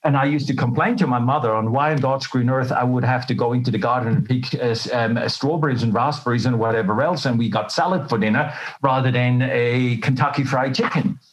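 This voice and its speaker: A middle-aged man who grew up in Switzerland speaks as an interview guest at a medium pitch. He tells personal stories in a candid, colloquial way and makes fun of himself. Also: a little fast, hardly any pauses, low-quality recording. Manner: self-deprecating, colloquial, candid. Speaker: interview guest, health professional. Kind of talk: conversational personal storytelling.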